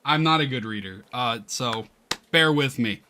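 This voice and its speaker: A man hosting a gaming video, giving casual let's-play commentary in a rambling, halting way and often interrupting himself. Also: mid-range voice, bright, forceful tone, slight background noise. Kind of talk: casual let's-play commentary, reading game text aloud.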